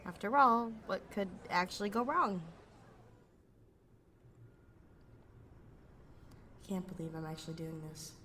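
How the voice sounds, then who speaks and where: A woman's voice: medium pitch, animated, a little fast, pushed and bright, echoey room, long reverb tail, a little hiss or hum. A woman playing a cocky, sarcastic young pickpocket outlaw in a sci-fi audio roleplay, muttering wryly to herself. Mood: wary, resolute. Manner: wry, sardonic, slangy, talking to herself.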